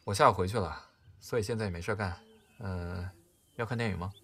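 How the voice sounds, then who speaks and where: A male actor plays an earnest young man in a radio drama, caring and a little jealous. His voice is low and gently insistent. There is clear noisy ambience behind him. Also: fast. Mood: teasing, relaxed. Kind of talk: audio drama acting in Mandarin.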